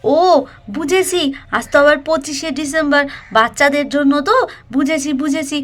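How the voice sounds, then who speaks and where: An impatient, friendly village neighbour , acted by a woman, speaks high. She calls out and hurries everyone along in a doll cartoon style. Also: moderate pace, fairly bright tone. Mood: impatient, curious.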